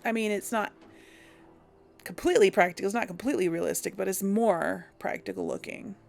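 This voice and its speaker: A woman's voice: mid-range voice, brisk, creaky, darkish tone. A woman hosting a let's play, giving casual gameplay commentary and thinking aloud with hesitant restarts.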